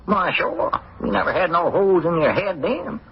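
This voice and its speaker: An actor playing a skeptical, nagging small-town old-timer with a very raspy voice, a rural Arkansas accent and a folksy, needling manner, in 1940s radio comedy style. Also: male voice, high-pitched, sing-song, normal speed, full character voice. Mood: scolding. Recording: muffled, dull 1940s radio recording.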